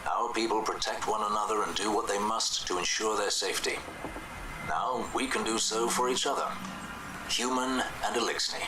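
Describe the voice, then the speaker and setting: An actor voicing a proud, dry-witted male veteran officer in a video game cutscene, speaking in a composed, wry, gently self-satisfied way. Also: on the low side, normal speed, music in the background, close to an everyday voice, low-quality recording.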